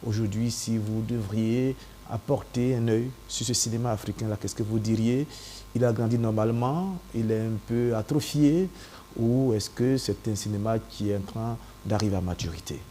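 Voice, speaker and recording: Low-voiced male TV interviewer. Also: normal speed, mellow. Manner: brief affirming interjections, longer formal questions. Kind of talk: interview questions and short acknowledgments. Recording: faint background hum.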